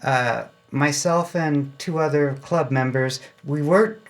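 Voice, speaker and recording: A man's voice: a little high, takes it slowly. Folksy American man explaining things casually. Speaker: amateur radio club member, interview guest. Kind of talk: casual explanatory interview talk.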